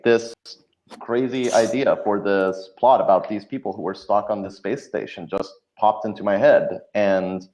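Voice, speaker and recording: A man's voice: on the low side, dull audio. A man telling stories casually as a guest in a podcast interview over an online call. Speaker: podcast guest, audio drama creator.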